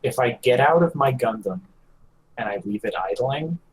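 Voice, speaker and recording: A man's voice: medium pitch, lively intonation, normal speed, low-quality recording. A man co-hosting a podcast, chatting casually with a joking manner. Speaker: podcast co-host. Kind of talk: casual chat.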